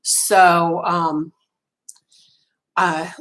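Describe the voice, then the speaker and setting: A woman's voice: on the low side, flat delivery, very slow, fairly bright tone, low-quality recording. A middle-aged woman losing her voice, chatting casually with live viewers from a small home craft room over a webcam. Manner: chatty, many ums and restarts, talks with her hands. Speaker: livestream host.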